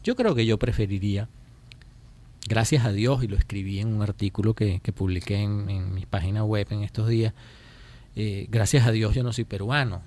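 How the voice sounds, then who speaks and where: A Venezuelan man giving political commentary as a radio interview guest, speaking emphatically and deliberately and repeating phrases to drive points home. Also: fairly low pitch, a little mellow. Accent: Venezuelan Spanish. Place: radio studio.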